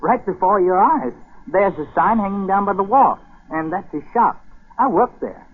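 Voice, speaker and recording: High, husky colonial apprentice boy. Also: expressive, moderate pace. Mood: eager, helpful. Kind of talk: 1940s radio drama acting. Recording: muffled telephone-like 1940s radio recording.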